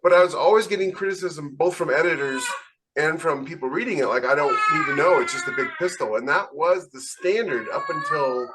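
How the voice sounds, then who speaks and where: A man's voice: fairly high pitch, fairly quick, very noisy. A man talking casually as an author on a podcast panel, explaining his points conversationally.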